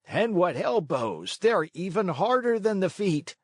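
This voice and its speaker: A man's voice: high-pitched, sing-song, measured pace, no echo, studio quality, pitched above the performer's own voice, exaggerated voice. A man voicing rowdy, mocking schoolboys in a storybook, with exclaiming, jeering delivery. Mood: pained, shocked.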